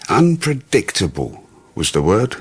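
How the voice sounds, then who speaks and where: Morbid, insinuating British neighbour for radio drama; low voice, very varied melody, rambling asides. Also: male voice, huge pitch swings, unhurried, full character voice. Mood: confiding, gossipy. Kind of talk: naturalistic radio drama acting.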